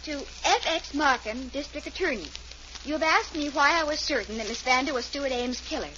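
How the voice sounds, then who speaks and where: An admiring, obliging secretary in a vintage radio mystery. Her voice is very high and eager, heard through the dull, hissy sound of an old broadcast. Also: animated, a little fast. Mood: dutiful. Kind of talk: old-time radio drama acting.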